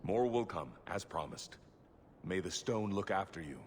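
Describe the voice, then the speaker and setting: Very deep, solemn dwarven lord. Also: male voice, animated, normal speed, a little hiss or hum. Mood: solemn, grateful. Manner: formal, solemn. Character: proud, grave exiled dwarven nobleman on the run. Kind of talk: video game voice acting.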